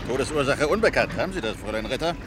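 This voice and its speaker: A man playing a brisk senior police detective in a radio drama, with a mocking, wry manner. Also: medium pitch, animated, close to an everyday voice. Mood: sardonic. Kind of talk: German radio drama acting. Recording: clear outdoor vehicle noise.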